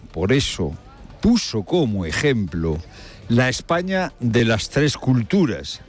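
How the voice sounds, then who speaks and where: A man presenting radio news with live, emphatic commentary, asking rhetorical questions and repeating key phrases for effect. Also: mid-range voice. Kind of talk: live spoken commentary with field reporting.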